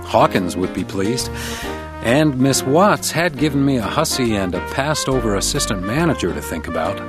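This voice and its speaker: A man's voice: medium pitch, very animated, moderate pace, lightly acted. A man playing a laconic, dry-witted hotel detective in a radio drama, narrating and talking through his case. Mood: wry, reflective. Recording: music under the voice.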